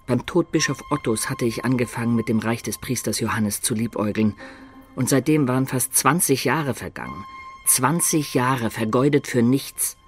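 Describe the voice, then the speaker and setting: A mid-pitched adventurer telling his tale played by a man over faint music. He narrates a radio drama in a measured, reflective and persuasive way. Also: close to an everyday voice. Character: male adventurer recounting his life, wistful, persuasive storyteller. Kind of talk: dramatic first-person narration in German radio play.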